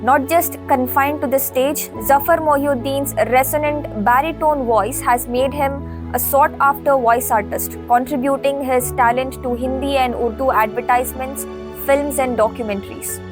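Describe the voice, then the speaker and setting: A woman reading a smooth, even scripted narration. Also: sits high, moderate pace. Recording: voice-over with music behind.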